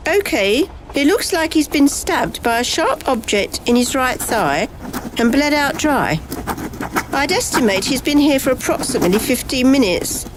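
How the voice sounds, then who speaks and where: Casting: a woman as brisk 1930s lady doctor for radio mystery, animated delivery, noticeably noisy recording. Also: high voice. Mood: brisk, clinical. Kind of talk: amateur radio play acting. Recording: clearly noisy amateur recording.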